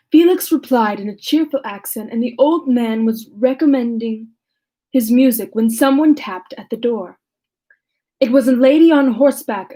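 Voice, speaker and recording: A woman reading aloud as a lonely male creature who tells his own story in a measured, formal way. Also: on the high side, mellow, husky, poor audio. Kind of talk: reading a classic novel aloud.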